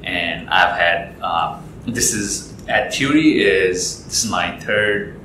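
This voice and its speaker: A young man from Nepal, a guest in an interview, talking in a relaxed, rambling way with lots of fillers and restarts. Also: low voice, takes it slowly, slight background noise. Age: young adult. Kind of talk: casual interview chat. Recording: echoey room with loud music.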